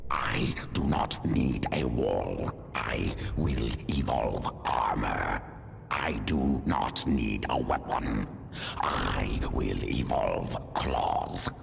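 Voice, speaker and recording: A huge primal creature from a video game, guttural and extremely deep. The words crawl out in short, blunt declarations. Music swells loudly behind the growl. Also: male voice, lively intonation, drawn-out words, gravelly, heavily acted. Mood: proud, blunt. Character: hulking primal beast creature, blunt, hungry to evolve. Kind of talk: video game cinematic acting.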